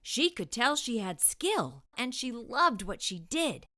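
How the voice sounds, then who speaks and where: A woman's voice: a little high, sing-song, takes it slowly, bright, forceful tone. A woman hosting a children's read-aloud, narrating a storybook expressively with careful delivery.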